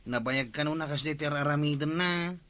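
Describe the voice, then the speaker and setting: An actor playing a respectful, down-to-earth village nephew in an Ilocano radio comedy drama, with an Ilocano accent. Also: male voice, fairly high pitch, moderate pace, pushed and bright. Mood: matter-of-fact. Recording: music under the dialogue.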